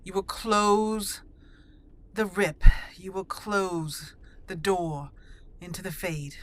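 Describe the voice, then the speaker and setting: A woman's voice: fairly low pitch, fairly even intonation, slow pace, a bit bright. A woman playing a stern young elf mage. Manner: firm, commanding. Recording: faint game music behind.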